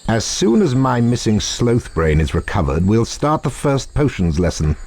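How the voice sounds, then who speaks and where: An actor playing a cold, contemptuous potions master in a video game, with a slow, sardonic, disdainful delivery. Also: male voice, medium pitch, big pitch swings, darkish tone. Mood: sneering, suspicious. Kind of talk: video game cutscene acting. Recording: clearly noisy old game audio.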